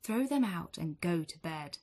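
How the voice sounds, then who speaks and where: A boy's mother from a rhyming storybook, pitched high and shrill with a husky grain, acted by a woman reading aloud. She speaks slowly and brightly. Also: animated. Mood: scolding. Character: scolding mother of a young boy.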